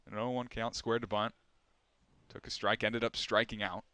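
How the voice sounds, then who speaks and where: Young male play-by-play announcer, smooth clear voice, measured delivery. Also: on the low side, little pitch movement, bright, forceful tone, no echo. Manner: measured, rising for big plays. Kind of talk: baseball play-by-play commentary.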